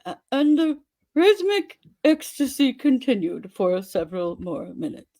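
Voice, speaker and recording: A woman reads a story in a theatrical, exaggerated style. Her voice is fairly high and soft-edged rather than bright. She takes it very slowly, leaving dramatic pauses between phrases. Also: very animated. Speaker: dramatic reader.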